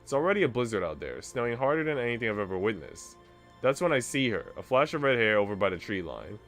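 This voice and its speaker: Casting: male game streamer reading story narration, lower voice with animated intonation, light music behind. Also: quick pace. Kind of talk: reading visual novel narration aloud with chat asides.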